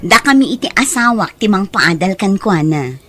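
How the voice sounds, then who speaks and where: A woman with an Ilocano accent playing a persuasive, caring aunt in an audio drama, with an expressive, coaxing delivery. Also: mid-range voice, normal speed. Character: Auntie Mikaela, persuasive, caring female relative.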